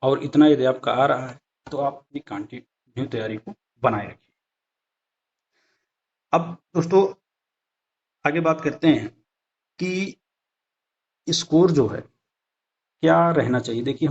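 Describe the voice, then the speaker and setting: A man's voice: mid-range voice, normal speed, long pauses. A man giving a conversational explanatory lesson over slides, speaking directly to viewers and repeating points for emphasis. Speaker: exam-prep presenter. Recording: dull lo-fi sound.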